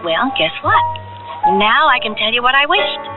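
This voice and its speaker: A woman's voice: a little high, big pitch swings, moderate pace, over loud music. An actress playing a sweet, chatty working-class young woman, eager and apologetic, in radio drama dialogue on a tinny recording. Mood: dreamy, happy.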